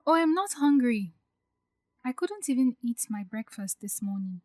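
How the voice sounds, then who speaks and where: A woman playing an anxious, hurt young bride-to-be in an audio drama, speaking softly and hesitantly as she confides her doubts. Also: on the high side, big pitch swings, normal speed, no echo, clean studio sound. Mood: nervous, polite. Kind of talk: acted audio drama dialogue.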